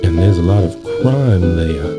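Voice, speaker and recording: A man's voice: sits low, lively intonation, a little slow. An actor voicing a protective, affectionate, worried father in a video game. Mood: anxious, fussing. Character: doting, worried father of a grown daughter. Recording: music clearly under the voice. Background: plucked synthesized game music.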